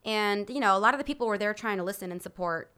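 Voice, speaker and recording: A woman's voice: mid-range voice, quick pace, sharp tone, smooth. A young woman, a musician guest on a podcast, talking frankly and emphatically. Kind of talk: casual interview chat.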